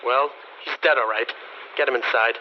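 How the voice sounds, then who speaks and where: An actor playing a stern wizard of Avalon in a radio drama, with authoritative, declamatory old-fashioned speech. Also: male voice, mid-range voice, fairly even intonation, moderate pace, dull audio, a little hiss or hum, lightly acted. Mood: matter-of-fact.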